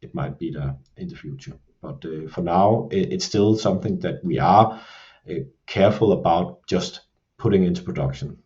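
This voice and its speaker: A man speaking English with a Danish accent, his voice low and mellow. He explains his points in a measured, steady way, often leaning on the filler "you can say". The audio sounds dull and a little muffled, like a plain podcast recording. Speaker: creative production lead. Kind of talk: podcast discussion.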